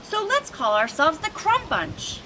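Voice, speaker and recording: A woman voicing an excitable, insistent little male pigeon with a squawking delivery in a storybook reading. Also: extremely high pitch, a little slow, pitched above the performer's own voice, heavily acted. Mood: delighted. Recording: noisy outdoor recording with traffic.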